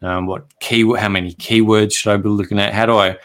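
A man's voice: on the low side, level tone, fairly quick, a bit bright. A man hosting an interview podcast, asking long chains of questions and giving frequent backchannel replies. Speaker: podcast host.

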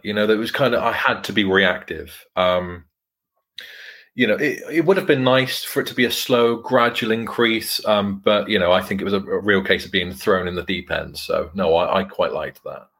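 A man guest in a casual interview with a somewhat low voice. He explains things in a measured, modest way with easy fillers. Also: a bit bright. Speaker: guest, mortgage broker.

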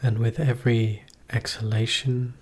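Male meditation teacher, husky voice, even and unvarying pitch, gentle short phrases, long pauses. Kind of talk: guided meditation.

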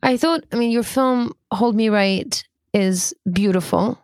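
A woman co-hosting an interview podcast, speaking in gentle, appreciative chat. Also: a little high, measured pace, smooth, no echo, clean studio sound. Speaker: podcast co-host.

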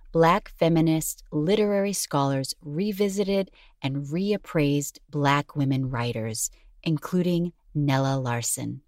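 A woman reading a scripted podcast narration as its narrator, with measured, emphatic phrasing. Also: sits low, dry recording, studio quality. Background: music under the voice.

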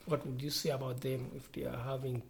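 A woman's voice: rumbling low, little pitch movement, a little fast, creaky, a little mellow, slight background noise. A woman from Uganda hosting a casual interview, speaking hesitantly and searching for her words. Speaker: host.

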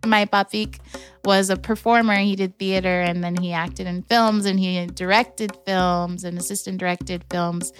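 A young adult woman from Los Angeles telling her family story in a slow, reflective way, with careful restarts. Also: fairly low pitch, steady tone, faint music behind. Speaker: storyteller, artist and organizer. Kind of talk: personal storytelling.

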